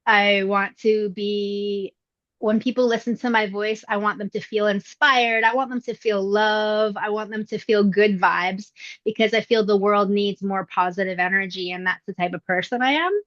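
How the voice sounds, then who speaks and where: A middle-aged woman with a young-sounding voice, talking about her acting career in a relaxed, reflective interview with frequent fillers. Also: mid-range voice, moderate pace, dry recording, dull audio. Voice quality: youthful-sounding voice. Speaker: voice actress, interview guest. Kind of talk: casual interview answers. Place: indoors at her mother's home.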